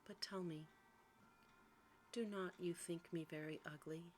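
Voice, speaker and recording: A meek, lonely storybook monster as a woman reads him, speaking low and hushed. He is courteous, self-deprecating and quietly sad, with faint noise behind the voice. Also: steady tone, unhurried, natural voice. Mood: tentative. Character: humble, gentle, melancholy male beast, a lonely monster.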